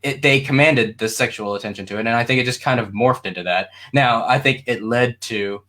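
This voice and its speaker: Male panelist, average pitch, loose rambling delivery, casual discussion style. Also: fairly quick, fairly bright tone. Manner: rambling, informal.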